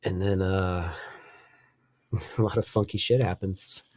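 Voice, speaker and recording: A man with a Dutch accent casually discussing films as a panelist, in a halting way that often repeats itself. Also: deep voice, steady tone, darkish tone. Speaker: film review panelist.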